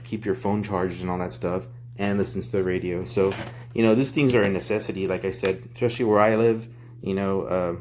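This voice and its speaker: A man giving an informal, loose hands-on product review, recorded on a handheld phone. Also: sits low. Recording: clear background noise, handling clicks.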